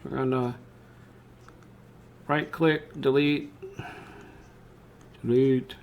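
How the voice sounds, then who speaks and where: Casual man with a creaky voice giving a tutorial. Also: mid-range voice, a little mellow. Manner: informal, thinking aloud while demonstrating. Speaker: software tutorial presenter. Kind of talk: casual step-by-step screen tutorial narration.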